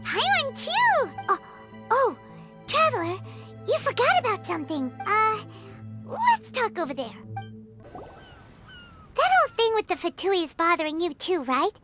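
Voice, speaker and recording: Super high squeaky childlike fairy, bossy n chatty, bouncy game voice, music behind. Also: female voice, wildly swooping pitch, measured pace, pauses often, exaggerated voice. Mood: indignant, eager. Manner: squeaky, exclamatory, speaks of self in third person. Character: tiny excitable childlike female fairy companion, bossy and chatty. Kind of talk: video game voice acting.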